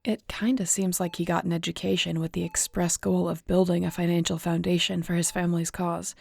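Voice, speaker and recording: A woman's voice: lowish voice, gravelly, darkish tone, breathy edge. A woman acting in an audio roleplay as a weary, guilt-ridden shifter investigator, speaking in a somber, conversational, confiding way.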